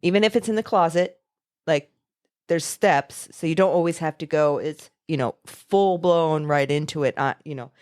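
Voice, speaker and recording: Woman co-host, low voice, frank and affirming, dry close sound. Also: moderate pace, darkish tone, dry recording. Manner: frank, affirming with frequent mm-hmms. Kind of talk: casual chat.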